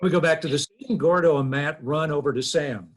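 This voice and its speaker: A man's voice: a little high, a little fast, a little hiss or hum. A man reading out stage directions as scripted narration at a table read over video call.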